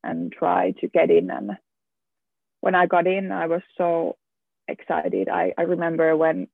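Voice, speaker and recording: A young man speaks slowly and haltingly, his higher voice catching on frequent restarts and repeated words. His tone carries a gravelly fry. The sound is thin and phone-like, with a dry, close feel. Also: no echo. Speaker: student guest. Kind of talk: casual round-table chat. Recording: tinny phone-like line, dry.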